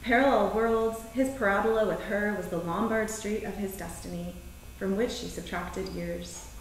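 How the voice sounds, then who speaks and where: A very reverberant theater recording of a woman poet at a live reading, picked up from a distance with faint noise. Her voice sits at a middle pitch for a woman. She presents her writing in measured, deliberate phrases. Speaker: poet reading own work at a live event. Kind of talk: poetry and prose reading with short spoken introductions. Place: theater stage, indoors. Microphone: distant camera microphone.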